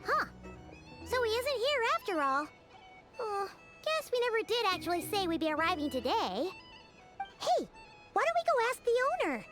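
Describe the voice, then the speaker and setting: Casting: tiny chatty game fairy, very high squeaky child voice, lively swings, over music. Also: female voice, normal speed, long pauses. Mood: curious, chatty. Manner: refers to self in third person, exclamatory. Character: small childlike fairy companion, excitable and chatty. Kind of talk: video game voice acting. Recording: music behind the voice.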